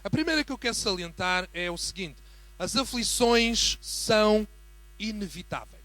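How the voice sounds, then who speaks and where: Portuguese guy (european accent) preaching in church, highish voice, gets fired up and emphatic. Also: lively intonation, moderate pace. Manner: swells from quiet greeting to fervent emphatic preaching. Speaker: guest preacher. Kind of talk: sermon.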